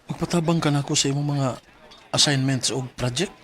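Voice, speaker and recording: A man's voice: on the high side, fairly even intonation, brisk, fairly bright tone, soft background music, natural voice. An actor playing an earnest, troubled young male college student in a radio drama. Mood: frank. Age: young adult. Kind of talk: Filipino radio drama acting.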